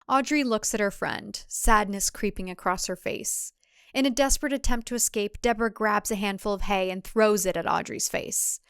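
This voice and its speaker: A woman's voice: medium pitch, clean tone, dry recording, clean studio sound. A woman giving a dramatic scripted narration of a suspense thriller.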